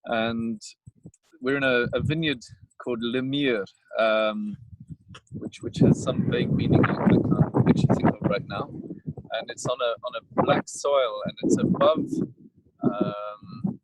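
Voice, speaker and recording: Man, South African accent, tired winemaker; halting delivery, lively intonation, outdoor mic with light noise. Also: medium pitch, low-quality recording. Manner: halting, many fillers and restarts. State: coughing, tired. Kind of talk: casual outdoor vineyard talk. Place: outdoors in a vineyard.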